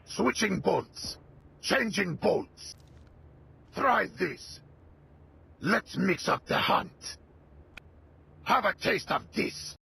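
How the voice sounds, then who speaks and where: A man voicing a vengeful, wrathful militant commander in a video game, gravelly and commanding, delivering menacing combat lines. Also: high voice, lively intonation, very slow, long pauses, bright, forceful tone, heavily acted. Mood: taunting. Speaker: playable game character.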